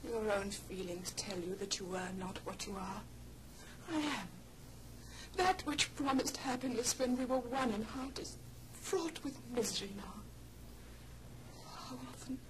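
An actress playing a sorrowful but resolute young fiancée in an old radio drama. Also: female voice, on the high side, a little slow, lightly acted. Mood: sorrowful resolve. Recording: noisy old record.

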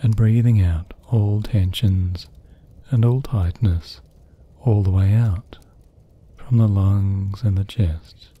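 A man narrating a guided sleep meditation in a soft, breathy, soothing voice, gently hushed, with long pauses between phrases. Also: lowish voice, steady tone, phone-quality sound.

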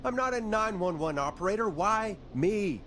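A man's voice: high-pitched, expressive, normal speed, fairly bright tone, a little hiss or hum. A man playing a jaded, sarcastic late-night radio DJ in a video game. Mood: skeptical, irritated.

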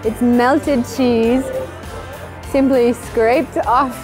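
A woman's voice: fairly high pitch, fairly even intonation, very slow, a little mellow, low-quality recording. A woman in her thirties presenting a travel vlog in an enthusiastic, explanatory way. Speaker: travel vlogger, host. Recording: music bed behind the voice. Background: background music.

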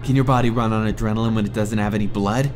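An actor playing a feverishly obsessed self-appointed detective in an audio drama, declaiming theatrically. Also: male voice, fairly low pitch, fairly even intonation, quick pace, close to an everyday voice. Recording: loud music under the voice.

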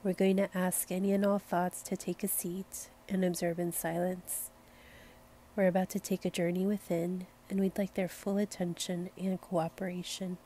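Female meditation guide, lowish and flat in pitch, soothing, unhurried delivery with music behind. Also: slight background noise. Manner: gentle, soothing, unhurried invitations. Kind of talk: slow guided meditation narration.

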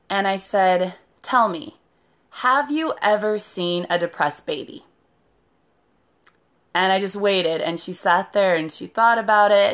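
Raspy-voiced woman chatting on a live stream. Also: medium pitch, fairly bright tone. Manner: chatty, greets viewers by name mid-sentence. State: hoarse from shouting. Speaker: therapist. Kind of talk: casual live-stream talk to viewers. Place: living room with sofa, indoors. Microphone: phone camera at arm's length.

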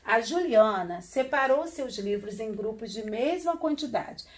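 A woman in her forties teaching young children from a classroom, counting slowly in a sing-song way and repeating each number. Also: on the high side, lively intonation. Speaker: primary school teacher. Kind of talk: remote lesson for young children. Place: classroom with wall charts, indoors.

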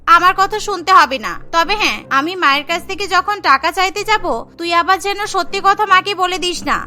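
A woman's voice: very high voice, moderate pace, exaggerated voice. A woman voicing a scheming young daughter-in-law who is sly and greedy, with a wheedling, bossy delivery. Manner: wheedling, then bossy and sharp.

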